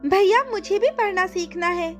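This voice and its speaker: A woman's voice: extremely high pitch, moderate pace, faint music behind, pitched above the performer's own voice. A woman voicing a curious, innocent seven-year-old princess with a childlike, pleading manner in a cartoon story. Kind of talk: cartoon moral story acting.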